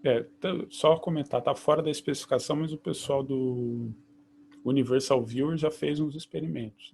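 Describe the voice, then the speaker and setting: A man with a gravelly, creaky voice hosts an online meeting. He explains things in a loose, easygoing way. A faint hiss sits under his video-call audio. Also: mid-range voice. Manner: relaxed, explanatory, loose phrasing. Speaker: host.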